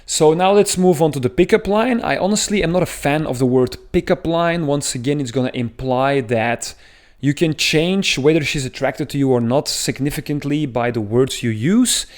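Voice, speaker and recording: A man's voice: fairly high pitch, moderate pace. A man from Belgium with a thick Belgian accent, talking earnestly to camera as a dating coach, explaining at length with frequent filler sounds. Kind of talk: talking-head advice video.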